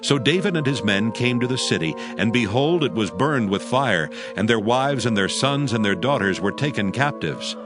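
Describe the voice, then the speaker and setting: A man narrating a dramatized audio Bible, reading scripture as a solemn, measured storyteller. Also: medium pitch, expressive, music in the background.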